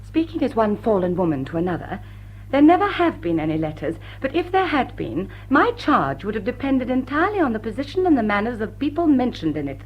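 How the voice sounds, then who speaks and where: An actress playing a witty woman thief among English high society in a radio drama, coolly polite and mock-innocent. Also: very animated, brisk, slightly rough, lo-fi sound. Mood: coolly indignant. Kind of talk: classic radio drama acting.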